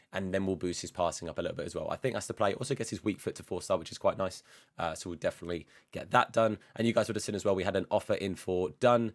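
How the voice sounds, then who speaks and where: A low-voiced man running casual gameplay commentary, his voice rough and hoarse. He talks quickly, in an upbeat, rambling way. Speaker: gaming channel host.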